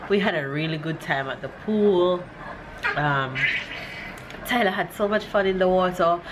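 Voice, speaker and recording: A woman's voice: fairly low pitch, a little slow, rough recording. A woman from Jamaica chatting casually to camera in a family vlog, with a stuffy, nasal voice. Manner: chatty, upbeat, lots of fillers. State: stuffy nose. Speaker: vlogger. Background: background music.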